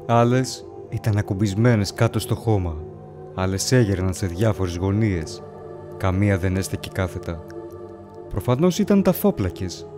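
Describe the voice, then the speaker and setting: A man's voice: on the low side, close to an everyday voice. A man acting a haunted, feverish wanderer who tells his own horror story in a solemn, brooding, literary way. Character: haunted, feverish male wanderer lost among ancient graves. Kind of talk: dramatic first-person horror story narration. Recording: loud music under the voice. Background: music.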